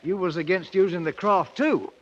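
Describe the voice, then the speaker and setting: An actor playing a stubborn British ex-soldier turned king, with a working-class English accent and a commanding, rough-spoken manner, in 1950s radio drama style. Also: high voice, moderate pace, lo-fi sound. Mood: defiant. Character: proud, stubborn British ex-soldier crowned king of hill country. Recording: vintage 1950s radio broadcast, loud music.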